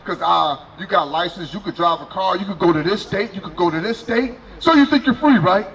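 A middle-aged man preaching loudly on a city sidewalk outdoors into a handheld mic, questioning people as they pass. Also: sits high, a little fast, a little hiss or hum, poor audio. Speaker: street preacher.

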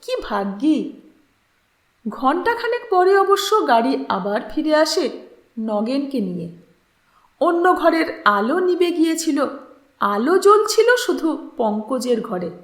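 High-voiced woman reading a story, very animated. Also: measured pace, reverberant. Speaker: storyteller reading fiction aloud. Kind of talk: expressive literary audiobook narration with acted dialogue.

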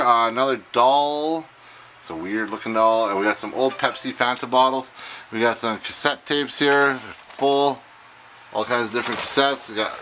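A man's voice: mid-range voice, a little hiss or hum. Chatty man touring auction lots. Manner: chatty, excitable, rambling. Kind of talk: casual walkthrough of auction lots. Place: auction warehouse, indoors.

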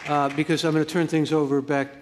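Guy moderating on stage, slightly high voice, big hall echo and audience murmur behind. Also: flat delivery, quick pace, a faint crowd, slight background noise. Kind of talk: closing a panel discussion. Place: theater stage.

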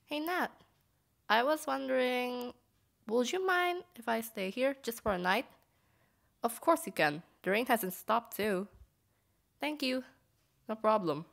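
Woman voicing an earnest, unhurried young cartoon sweetheart. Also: on the high side, animated, vocal fry, a bit bright, voice pushed up, heavily acted. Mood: earnest, polite.

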